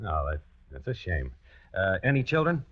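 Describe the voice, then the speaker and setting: Anxious lovestruck noir killer, very low voice, 1940s radio suspense, muffled vintage sound. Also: male voice, big pitch swings. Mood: nervous, hesitant. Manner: hesitant, stammering, polite in dialogue; tense, measured narrating. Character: nervous, desperate lovestruck male pollster turned would-be killer. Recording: dull old radio recording with music.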